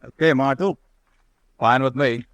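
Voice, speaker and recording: An actor playing a brash, sneering young army lieutenant, in 1950s radio drama style. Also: male voice, medium pitch, a little slow, a bit bright, dry recording, natural voice. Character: arrogant, mocking young male army lieutenant.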